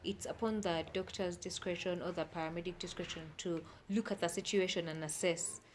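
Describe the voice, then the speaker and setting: A woman's voice: fairly low pitch, steady tone, brisk, a little hiss or hum. A woman speaking as an expert interview guest, explaining things plainly step by step and checking that she is understood.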